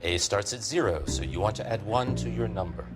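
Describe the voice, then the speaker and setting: A man's voice: fairly low pitch, a little fast, natural voice. An actor playing a patient, methodical male records clerk in a video game, formal and matter-of-fact. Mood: helpful, procedural. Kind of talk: video game drama acting. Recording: music under the voice.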